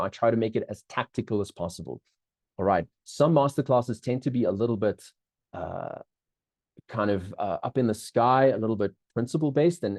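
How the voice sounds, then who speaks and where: Mellow-voiced South African man presenting. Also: medium pitch, no echo. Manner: upbeat, chatty, many restarts, keeps checking in with the audience. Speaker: business coach hosting a live webinar. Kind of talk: live online masterclass presentation.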